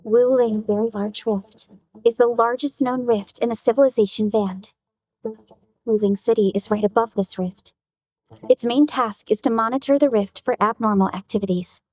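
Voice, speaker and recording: A woman voicing a composed young supervisor who briefs her team in a formal, measured, businesslike way in a video game. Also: on the high side, fairly even intonation, natural voice. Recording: loud game music behind. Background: music.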